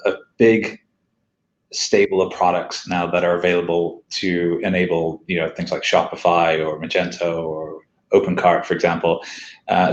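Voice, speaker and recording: A man who is a company executive, talking business casually in a halting way and repeating words as he thinks, over a video call. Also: low-pitched. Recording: roomy, dull video call audio.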